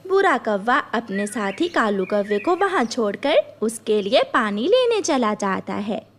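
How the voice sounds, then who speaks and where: A woman's voice: on the high side, very animated, unhurried, slight background noise. A woman storyteller reading scripted narration for a children's cartoon story.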